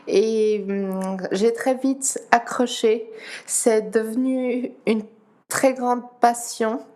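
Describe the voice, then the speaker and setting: Slow, halting young woman with high voice. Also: steady tone, a little mellow. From Geneva, Switzerland. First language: Dutch. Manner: slow, halting, many pauses and repeated words. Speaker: guest, para-athlete. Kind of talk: interview answers.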